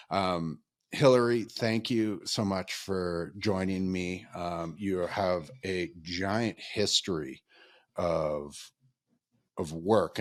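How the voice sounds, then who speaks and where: A man's voice: low-pitched, dry recording, studio quality. A man hosting a podcast interview, rambling and friendly with many ums and restarts. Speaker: podcast host. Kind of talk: casual interview chat.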